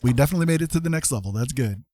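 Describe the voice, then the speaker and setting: A man's voice: mid-range voice, animated, rapid-fire, dark tone. A man hosting a casual livestream, commenting on a game in an encouraging, jokey manner. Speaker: livestream host.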